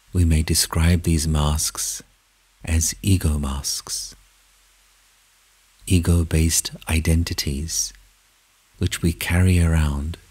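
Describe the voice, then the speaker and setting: A man with an extremely deep, very breathy voice guides a meditation. He keeps his voice flat and slow, speaking in short, measured phrases with long pauses, soft and sometimes close to a whisper.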